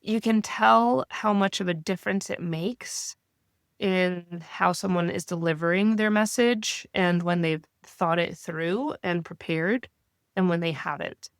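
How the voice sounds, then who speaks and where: A woman in her thirties talks as an interview guest while still recovering from a cold. Her voice sits a little low and comes through smooth and clean. She speaks in a measured, reflective way, and the sound is close and dry. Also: no room sound. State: getting over a cold. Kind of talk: interview conversation.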